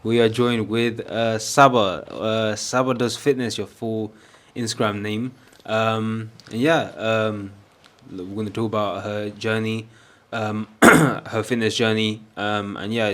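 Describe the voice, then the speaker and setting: A man hosting a casual podcast, talking haltingly with lots of fillers and some joking. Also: lowish voice, level tone, fairly bright tone. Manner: halting, many fillers and restarts, jokey. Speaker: podcast host.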